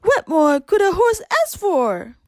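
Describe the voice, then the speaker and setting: Lady doing a flamboyant fussy storybook gent, high pitched and showy, swoops around. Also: sing-song, slow pace, no room sound, studio quality, exaggerated voice. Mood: proud.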